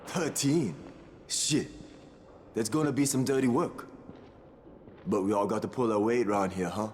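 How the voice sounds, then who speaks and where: An actor playing a gruff, nosy guard in a video game. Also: male voice, fairly low pitch, normal speed, darkish tone, a little hiss or hum, natural voice. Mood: gruff, teasing.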